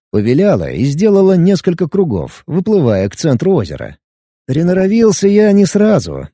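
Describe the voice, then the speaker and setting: A man reading a first-person adventure audiobook in a lively, expressive way. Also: fairly high pitch, breathy edge, dry recording, studio quality. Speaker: audiobook narrator.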